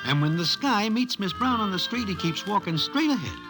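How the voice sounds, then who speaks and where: An actor playing a streetwise Broadway narrator, telling a tale in stilted slang for an old-time radio drama. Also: male voice, sits high, lively intonation, fairly quick, over music, low-quality recording. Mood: wry, confiding. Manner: stilted, present-tense storytelling slang.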